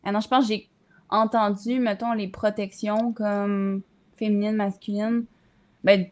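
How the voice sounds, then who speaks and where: Mid-pitched young Quebec woman. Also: level tone, unhurried, darkish tone. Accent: Quebec French. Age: young adult. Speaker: social work student. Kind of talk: casual group discussion. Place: indoors, on a video call.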